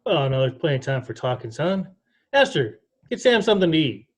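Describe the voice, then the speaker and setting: A man's voice: fairly high pitch, big pitch swings, a little fast. A man playing a stern, hot-tempered country father in an amateur play read over a video call. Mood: gruff, dismissive.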